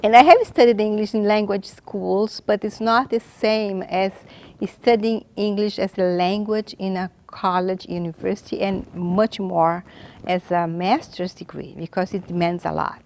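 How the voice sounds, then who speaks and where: A woman in her fifties from Brazil, with Portuguese as her first language and a Brazilian Portuguese accent, speaking earnestly and haltingly as a graduate student in a documentary interview. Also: medium pitch, steady tone, a little mellow. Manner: earnest, halting, with frequent restarts. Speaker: graduate student and legal translator.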